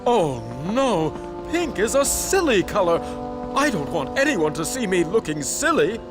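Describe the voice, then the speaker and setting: A man's voice: high voice, very animated, a little slow, over music. A man voicing a vain, anxious steam engine who is easily embarrassed, in a children's cartoon, proud and fretful in manner. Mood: upset. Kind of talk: children's cartoon character acting.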